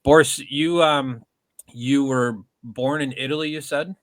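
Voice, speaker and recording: A man's voice: mid-range voice, steady tone, no room sound. A man hosting a podcast, chatting casually with his guests and often stumbling over his words and starting again. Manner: halting, stumbles and restarts often. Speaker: podcast host.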